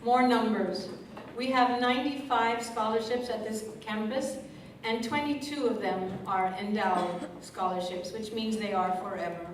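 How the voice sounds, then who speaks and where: A woman's voice: fairly high pitch, unhurried, a little hiss or hum. A woman emceeing a scholarship evening, projecting a big voice from a script to the audience. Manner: big projecting voice, speaks away from the mic; reads from a script with asides. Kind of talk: public speech to an audience. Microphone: stage mic kept at a distance. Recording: echoey room, distant mic, crowd ambience.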